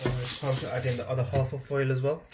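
A man hosting a home cooking channel, talking viewers through a recipe step by step in a steady, instructive way from his kitchen. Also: medium pitch, quick pace, heavy background noise. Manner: steady, instructive, often addresses viewers as guys.

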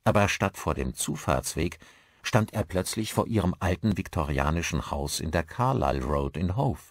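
A man's voice: sits low, animated, moderate pace, no room sound, studio quality. A man reading a scripted story as an audiobook narrator, in a dramatic style.

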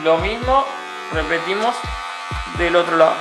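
Calm young barber explaining steps. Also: male voice, on the high side, measured pace. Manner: calm, instructive, repeats steps patiently. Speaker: barber instructor. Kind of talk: step-by-step tutorial narration. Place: small barbershop. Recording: roomy sound with music behind. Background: background music and clipper buzz.

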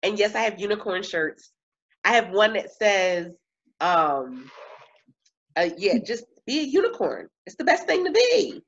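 A woman in her thirties talks on a video call in a small room at home, lively and quick to laugh. Her voice sits in a middle register. The webcam audio is slightly dull and muffled. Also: medium pitch, husky. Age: 30s. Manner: animated, laughs often, drawn-out emphasis. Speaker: coach and speaker. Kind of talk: casual interview chat.

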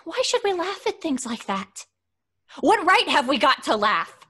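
A woman's voice: high voice, big pitch swings, measured pace, fairly bright tone, heavily acted. A woman playing an earnest, fearful young woman in an old-time radio drama, speaking with dramatic insistence. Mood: intense, insistent.

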